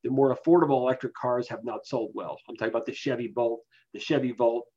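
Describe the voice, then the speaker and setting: A man speaking as an expert guest, giving explanatory interview answers in a measured, analytical way. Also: mid-range voice, steady tone, warm tone, slightly rough. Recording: dull, lo-fi voice capture.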